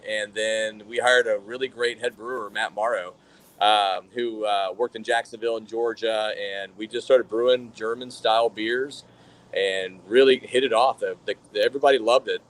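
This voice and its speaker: A man's voice: mid-range voice, fairly even intonation, moderate pace, poor audio. A man from Florida, a brewery manager, telling his story in a casual podcast interview, rambling with lots of fillers and restarts.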